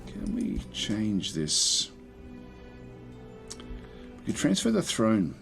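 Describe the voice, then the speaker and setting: Croaky low-voiced man commentating a strategy game. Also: wildly swooping pitch, extremely slow, fairly bright tone, soft background music. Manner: thinking aloud, many fillers and restarts. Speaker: gameplay commentator.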